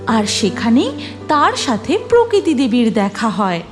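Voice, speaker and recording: A woman narrator reading a scripted cartoon fairy tale in an expressive storytelling manner. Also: fairly high pitch, measured pace, soft background music.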